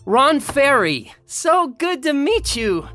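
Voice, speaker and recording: A man's voice: very high voice, sing-song, slow, sharp tone, faint music behind, exaggerated voice. An actor playing an earnest, sheltered young American male college freshman in an audio drama, polite, eager and quaintly formal. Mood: eager, friendly. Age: young adult.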